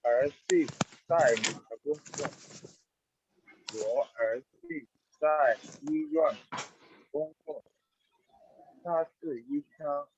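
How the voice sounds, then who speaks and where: A man student on an online class reading practice sentences aloud slowly and carefully. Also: fairly high pitch. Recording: muffled call audio with faint noise.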